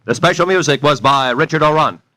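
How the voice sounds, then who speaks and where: Male American radio announcer, highish voice, polished formal copy reading, old broadcast recording. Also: loud music behind the voice. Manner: formal, measured, emphatic. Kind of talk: 1940s radio announcing, scripted.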